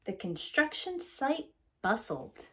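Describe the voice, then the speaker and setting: A woman's voice: high-pitched, very animated, slow pace, a little hiss or hum. A middle-aged woman, a teacher, reading a children's picture book expressively indoors. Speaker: teacher, storyteller. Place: small room at home, indoors.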